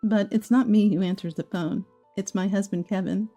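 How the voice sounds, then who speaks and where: A woman with a gravelly voice narrating a scripted first-person story in a calm, steady reading. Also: mid-range voice, normal speed, soft background music.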